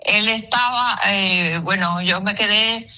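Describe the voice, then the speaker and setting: A woman's voice: medium pitch, slow, sharp tone. A middle-aged woman, the host's mother, chatting affectionately with her son on the phone. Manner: affectionate, calls him her baby. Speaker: host's mother on a prank call. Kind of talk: phone conversation.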